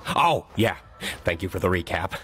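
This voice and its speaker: Jittery, addled old king with swooping pitch. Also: sing-song, normal speed. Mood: befuddled. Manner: scattered, dithering, prone to sudden outbursts. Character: jittery, absent-minded old male chess-king piece, gentle and addled. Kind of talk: animated cartoon acting. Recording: loud music bed behind voice.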